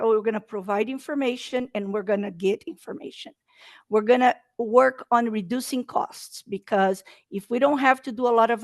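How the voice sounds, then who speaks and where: A woman's voice: fairly high pitch, no echo, studio quality. A woman from Brazil with a Brazilian Portuguese accent, presenting a webinar in earnest, slightly non-native English. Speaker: state procurement presenter.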